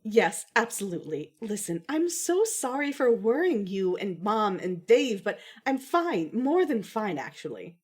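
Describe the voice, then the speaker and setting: A woman's voice: medium pitch, sing-song, measured pace, hardly any pauses, slightly rough. A woman playing a composed, dry-witted young daughter with a formal, slightly wry manner in amateur audio fiction. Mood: earnest.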